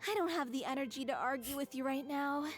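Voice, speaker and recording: Woman playing a high-voiced young theater girl from a game, sincere and worn out, bit of banter, faint music. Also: fast talker, close to an everyday voice. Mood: weary banter. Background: soft game music.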